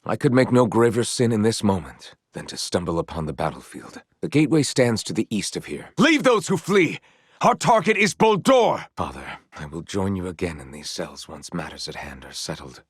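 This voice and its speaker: An actor voicing a courteous, earnest and gently proud young knight in a video game, with formal, eloquent phrasing. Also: male voice, low voice, sing-song, moderate pace, slightly rough, no echo, studio quality, heavily acted. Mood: grave, measured, then urgent, commanding. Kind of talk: video game character voice lines.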